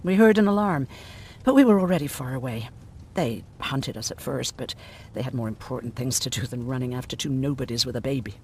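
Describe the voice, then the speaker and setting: An actor playing a blunt, sardonic, weary survivor of a machine war in a video game. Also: male voice, mid-range voice, fairly quick, a little mellow, husky, close to an everyday voice. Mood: grave recounting.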